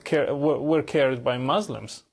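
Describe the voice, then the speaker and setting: A man whose first language is Arabic, interviewing a guest with probing questions and some halting restarts. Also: mid-range voice, creaky. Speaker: interviewer, host.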